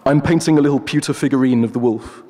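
A man's voice: medium pitch, quick pace, mellow, in a large hall, lightly acted. A man voicing a tired young woman, the narrator's girlfriend. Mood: weary.

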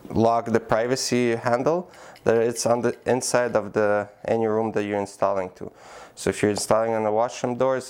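Smooth-voiced man explaining a product. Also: medium pitch, a little mellow. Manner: plain, practical, many "uh" fillers. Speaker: product demonstrator. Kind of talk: how-to demonstration. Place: indoor room by a door.

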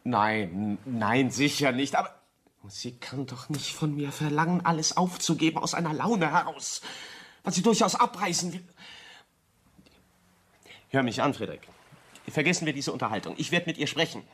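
Young architect in a radio play, husky highish voice, excitable, easily hurt, stammers a bit. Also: male voice, normal speed, pauses often. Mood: urgent, persuading. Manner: stammering, repeating words, pleading. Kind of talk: German radio drama acting.

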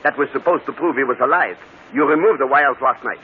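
An actor playing a shrewd, courteous, self-assured detective with a French accent, precise and theatrical, in an old radio mystery. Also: male voice, mid-range voice, a little fast, lightly acted. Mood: triumphant, mocking. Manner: theatrical, precise, mock-polite. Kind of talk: 1940s radio mystery acting. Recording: tinny, hissy old radio broadcast.

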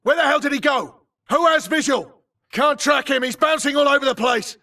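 An actor playing a tough, aggressive infantry grunt in a video game, barking clipped, urgent military callouts. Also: male voice, very high-pitched, moderate pace, distant mic, heavy background noise. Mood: tense, frustrated. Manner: clipped, urgent, forceful delivery. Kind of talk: military combat callouts, short shouted barks.

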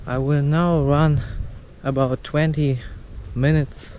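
A young man chatting casually in halting, simple phrases as he records a walking vlog. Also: on the high side, level tone, darkish tone, dull audio. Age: young adult. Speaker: walking vlogger. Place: outdoors, suburban street and park path. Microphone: action camera at arm's length, no windscreen. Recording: windy outdoor camera mic, street noise.

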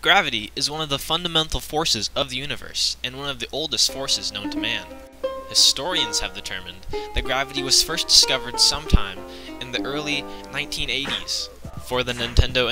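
A young man giving deadpan mock-serious documentary narration for a comedy video. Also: mid-range voice, low-quality recording.

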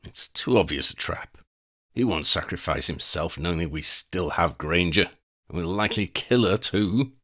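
A man voicing a cautious, calculating male dark wizard in an audiobook reading. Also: low-pitched, lively intonation, moderate pace, heavily acted. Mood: wary. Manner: wary, calculating.

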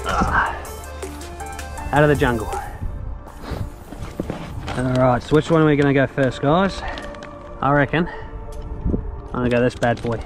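A man's voice: medium pitch, measured pace, long pauses, music in the background. A man in his thirties with a New Zealand accent, talking casually and enthusiastically to an action camera while fishing from a kayak on a lake. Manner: laid-back, slangy and enthusiastic. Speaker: fishing vlogger. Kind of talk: casual outdoor vlog. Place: outdoors on a lake in a small kayak. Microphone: action camera mounted on the kayak.

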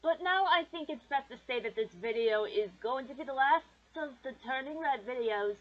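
A woman's voice: sits high, lively intonation, moderate pace, lo-fi sound. A woman hosting a video, reading scripted fan commentary. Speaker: video host.

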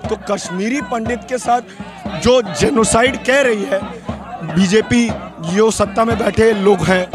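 A young male student activist giving fiery answers into a handheld mic at an outdoor street protest. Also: very high-pitched. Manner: forceful, fiery political speech. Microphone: handheld reporter mic. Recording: outdoor protest with crowd behind. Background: crowd noise.

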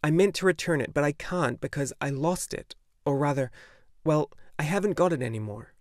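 A man voicing an earnest young boy in an audiobook, eager and sometimes halting. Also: on the high side, no room sound, studio quality, pitched above the performer's own voice, natural voice. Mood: urgent. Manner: eager, halting when unsure. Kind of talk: audiobook character voice.

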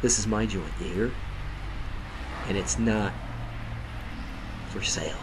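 An actor playing a dry, defiant speakeasy owner in an old-time radio drama. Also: male voice, lowish voice, slow, noisy background. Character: stubborn speakeasy owner, grown man, wry.

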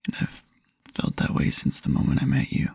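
A man playing a tender, wistful young boyfriend in an intimate ASMR roleplay, murmuring softly and haltingly in a husky near-whisper. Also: no room sound, studio quality, lightly acted. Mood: flustered, yearning. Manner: soft, halting, intimate murmur with small chuckles. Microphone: close microphone, dry.

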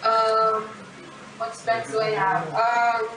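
A woman's voice: on the high side, little pitch movement, slow pace, bright, forceful tone, recorded from a distance. A young woman chatting casually from home over a video call. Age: young adult. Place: indoor home room with gaming computers. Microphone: webcam video call. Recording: echoey room, faint noise, video call.

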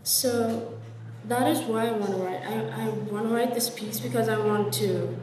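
A woman's voice: a little high, normal speed, roomy sound, distant mic, noisy background. A young woman from Ethiopia, a student journalist, answering earnestly and searching for her words in a classroom exercise. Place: classroom workshop, indoors.

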